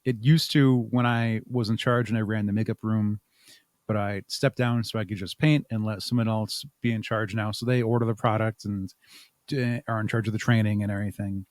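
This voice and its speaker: A man's voice: fairly low pitch, steady tone, brisk, no echo, studio quality. A man answering as an interview guest, talking conversationally and explaining things step by step with examples. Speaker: interview guest, audio drama creator.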